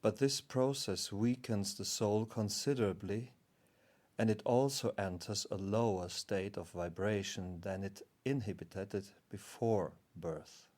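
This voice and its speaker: Solemn guardian spirit played by a man, deeper register, slow pacing, formal and calm spiritual teaching. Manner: formal, measured, explanatory.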